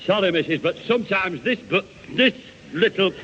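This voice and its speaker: High-voiced blustering Northern English father. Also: lively intonation, moderate pace. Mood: exasperated. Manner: blustering, sarcastic, grumbling. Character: exasperated, threatening working-class father, sarcastic grown man. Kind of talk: 1970 radio sitcom acting. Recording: muffled noisy vintage radio recording.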